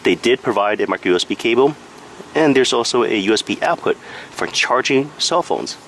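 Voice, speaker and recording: A man's voice: medium pitch, lively intonation, fairly quick, fairly bright tone, a little hiss or hum, poor audio. A man giving a casual hands-on product review in a matter-of-fact, explanatory way.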